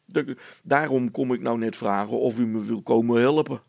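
Village policeman played by a male reader: blunt, folksy, slow-witted, heavy creak in the voice, storybook tale. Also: mid-range voice, darkish tone, no echo, natural voice. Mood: defensive. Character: simple, blunt village policeman, rustic and dim.

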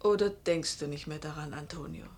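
A husky, wistful middle-aged mother. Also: deep voice, fairly quick, a little mellow. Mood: probing, hopeful. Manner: restrained, wistful, intimate storytelling. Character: weary, hopeful Italian mother narrating her life's disappointments. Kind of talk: radio drama first-person narration and dialogue.

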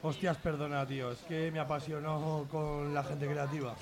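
A man's voice: on the high side, little pitch movement, normal speed, natural voice. A man playing an enthusiastic, cheeky sculptor in a comic radio serial, sweary and colloquial, with a Spanish accent from Spain and crowd noise behind. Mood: admiring.

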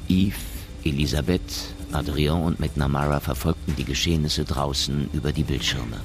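An actor narrating a science fiction radio drama as a sober storyteller. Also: male voice, rumbling low, fairly even intonation, normal speed. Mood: sober, measured.

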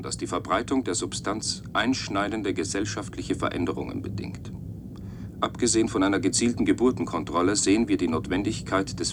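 A man voices a document-reading speaker device in a radio drama. The voice is deep and formal, set against clear low rumbling noise. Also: lightly acted.

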